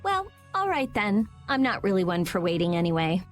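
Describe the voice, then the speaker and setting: Woman as cool-headed young adventurer, mid-pitched, frank, calm, game acting, music underneath. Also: normal speed, natural voice. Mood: skeptical.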